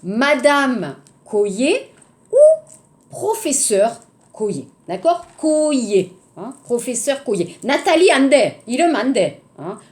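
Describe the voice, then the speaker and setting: Lively French woman teaching slowly. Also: high-pitched. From France. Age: 50s. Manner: slow, repeats each phrase across languages, checks in with "hein?". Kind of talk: introductory language lesson.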